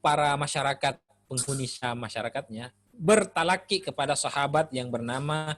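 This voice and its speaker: A man giving an Islamic studies lecture in a relaxed, conversational way, often pausing with "eh" while he thinks. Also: medium pitch. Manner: relaxed, conversational, frequent "eh" pauses. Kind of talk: classroom lecture.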